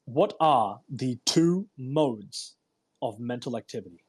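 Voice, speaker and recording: A man reads aloud as host in a husky, unpolished voice at a medium pitch. His delivery is deliberate and emphatic, somewhere between audiobook narration and informal commentary. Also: lively intonation, a little mellow. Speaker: host reading a self-help book aloud. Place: live online audio room broadcast.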